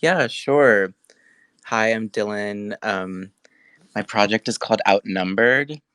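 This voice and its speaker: A young man from Texas, a guest artist, speaking in a soft, hesitant way with pauses in a casual conversation. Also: fairly low pitch, smooth, no echo. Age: young adult.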